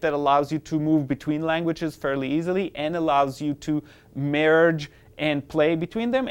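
A man's voice: fairly high pitch, clean tone. A man whose first language is Hebrew, presenting an upbeat, explanatory screencast tutorial on classroom apps. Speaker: educational technology presenter.

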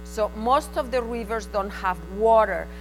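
A woman's voice: fairly high pitch, measured pace, noisy background. A woman from Spain with a Spanish accent, presenting at a conference in a frank, conversational way that comes in short bursts. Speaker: invited conference speaker. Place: conference hall.

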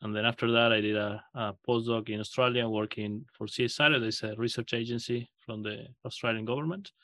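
Halting Argentine-raised man, bright voice. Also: mid-range voice, steady tone, dry recording. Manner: halting, frequent "uh" fillers. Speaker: guest researcher. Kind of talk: podcast interview.

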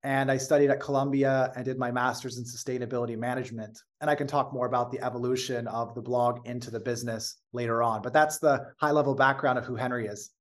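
A man with an American accent, a company founder talking as an interview guest, telling his story in a measured, fluent way with the odd filler. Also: medium pitch, steady tone. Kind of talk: casual interview talk.

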